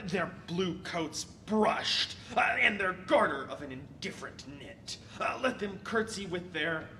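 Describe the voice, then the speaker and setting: A man acts a bumbling, garrulous servant from Shakespearean comedy. The voice sits high with a gravelly crackle and is halting and theatrical. There is faint noise in this live amateur audio play. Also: sharp tone, heavily acted. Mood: bossy. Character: comic bumbling male servant, put-upon and garrulous. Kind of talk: Shakespearean stage-style audio play acting.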